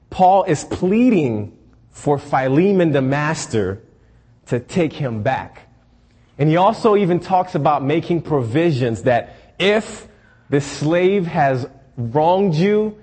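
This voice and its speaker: A young man from Haiti, a church intern, giving announcements and a sermon in an earnest, measured way with frequent ums. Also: fairly high pitch, a little mellow, breathy edge.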